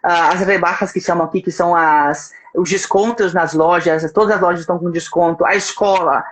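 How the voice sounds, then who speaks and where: A man's voice: sits high, steady tone, brisk, a bit bright. A man from Espírito Santo, Brazil, with a slight Spanish tinge to his Brazilian accent, speaking as a language school representative in a casual interview. He is agreeable and often stops to restart his phrases. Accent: Brazilian Portuguese with a slight Spanish tinge. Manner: agreeable, with many hesitant restarts. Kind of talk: casual live-stream interview. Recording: faint background noise on a video call.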